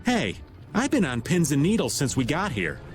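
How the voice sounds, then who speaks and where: A relaxed, wisecracking older man from a video game, drawling his lines with lots of up-and-down swing. Clear game music plays underneath. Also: fairly high pitch, normal speed. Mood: easygoing. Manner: easygoing, teasing drawl.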